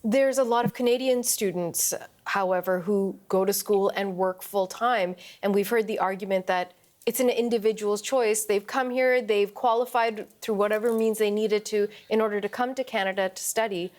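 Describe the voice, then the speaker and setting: A woman news anchor questions her guest in a measured, steady way. Her voice is at an ordinary middle pitch for a woman, clear and composed. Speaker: news interviewer.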